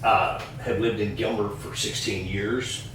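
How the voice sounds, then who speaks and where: A steady man with a low voice and a dry sense of humor, introducing himself. He sounds far from the mic in a very echoey room. Faint noise sits in the background. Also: measured pace. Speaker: guest, former Marine, veterans group officer. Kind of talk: casual personal introduction.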